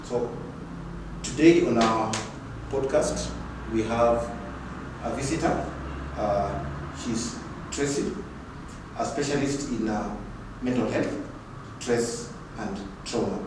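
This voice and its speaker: A man's voice: mid-range voice, slow, pauses often. A man hosting a podcast interview, often hesitant and repeating his words. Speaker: podcast host. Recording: echoey room, far from mic, noise.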